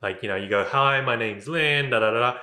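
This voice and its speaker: A man's voice: mid-range voice, a little fast, pushed and bright, roomy sound. A man interviewing casually, rambling through his questions with lots of "like" and "right?".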